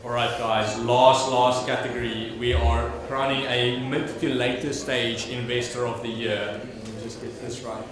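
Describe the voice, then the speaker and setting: A man's voice: medium pitch, level tone, a little slow, hardly any pauses. A man with a South African accent hosting an awards show on stage, slangy and enthusiastic, with casual swearing. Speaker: awards host. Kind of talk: lively stage emceeing. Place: large event hall with audience. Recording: very echoey hall with crowd noise.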